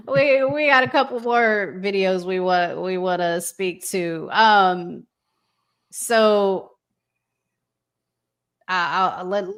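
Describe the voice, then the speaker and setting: A woman hosting a casual relationship talk show, speaking deliberately with pauses between phrases. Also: mid-range voice, a bit bright. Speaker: podcast host.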